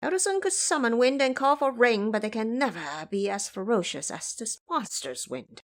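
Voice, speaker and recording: A proud, battered monkey warrior from an audio drama, played by a woman. The voice is high and swoops with excitable, boastful exclamation. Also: moderate pace. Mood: awed, rattled. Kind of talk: audio drama character dialogue.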